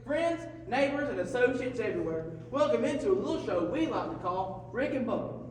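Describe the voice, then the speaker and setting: Man doing very high-pitched jovial co-host. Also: big pitch swings, brisk, a little mellow. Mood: hearty greeting. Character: jovial, folksy male radio co-host puppet. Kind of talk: comedic radio talk show skit. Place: live performance in a large hall. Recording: very echoey hall, distant mic, faint noise.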